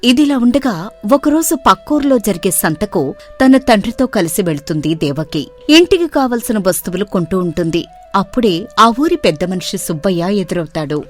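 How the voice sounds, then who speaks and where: A woman reading a story aloud in steady, clear narration. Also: mid-range voice, normal speed, faint music behind.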